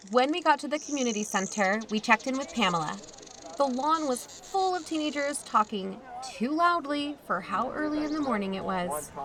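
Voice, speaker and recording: Audio drama lead: wry aunt woman performer, higher register, casual first-person storytelling and natural dialogue. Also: fairly bright tone, slight background noise. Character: Stevie, sardonic young aunt telling her own story.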